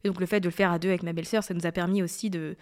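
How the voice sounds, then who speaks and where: A woman's voice: lowish voice, level tone, rapid-fire, darkish tone. A woman in her thirties hosting a solo podcast, talking in a chatty, confiding way that drifts off topic. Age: 30s. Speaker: podcast host. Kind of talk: casual solo podcast talk.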